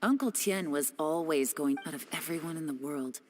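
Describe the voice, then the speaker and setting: A woman voices a self-assured investigator in a game cutscene. Her voice sits a little low, dry and cool, with a shrewd mocking edge over faint background noise. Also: normal speed, lightly acted. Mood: scornful, stern. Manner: dry, confident. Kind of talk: video game cutscene acting.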